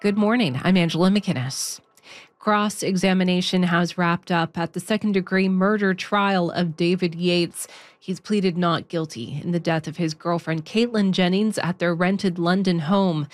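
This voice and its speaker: A woman news reader delivers a scripted update in a Canadian accent. Her voice sits at an average pitch, recorded close and dry with no room sound. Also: no echo.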